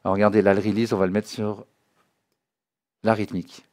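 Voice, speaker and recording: A man giving an informal spoken software tutorial in a didactic way, often checking that the listener follows. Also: lowish voice, flat delivery, a little fast. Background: synthesizer and music demo sounds.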